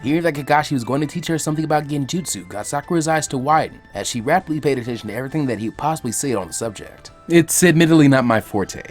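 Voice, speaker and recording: A man narrating a fan fiction story in a quick, animated storytelling manner. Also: medium pitch, soft background music.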